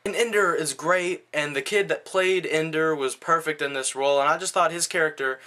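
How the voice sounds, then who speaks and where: A man's voice: fairly high pitch, normal speed, bright, forceful tone. A man giving a casual video movie review in a blunt, slangy, conversational way.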